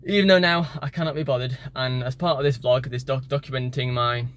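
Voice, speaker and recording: A man's voice: medium pitch, fairly even intonation, a little fast, fairly bright tone. A man, a native English speaker, talking casually in a vlog about learning languages, tired after the gym and rambling with many fillers and restarts. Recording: street and car noise behind.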